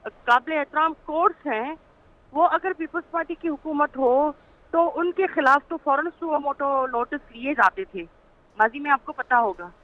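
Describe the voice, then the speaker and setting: Through a narrow, tinny phone connection comes a high-pitched Pakistani woman. She speaks as a political panel guest, making her argument haltingly and leaning on repeated filler phrases. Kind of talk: political argument on a talk show.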